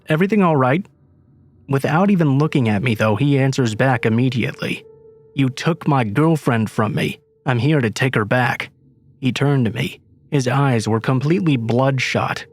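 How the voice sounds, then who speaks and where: A man narrates a true horror story in a steady, measured voice. His pitch sits in the ordinary middle range for a man. Quiet music plays faintly underneath. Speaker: narrator reading submitted stories.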